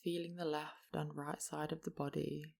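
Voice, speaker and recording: Gravelly, unhurried guided meditation voice. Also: medium pitch, flat delivery. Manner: slow, gentle instructing with long pauses. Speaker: yoga nidra meditation guide.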